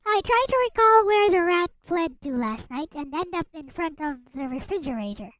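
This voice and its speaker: A woman's voice: animated, normal speed, fairly bright tone, dry recording, lo-fi sound. A woman with a girlish voice reading a visual novel aloud as a streamer, with playful casual commentary. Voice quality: high, girlish voice. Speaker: streamer, host. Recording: music behind the voice.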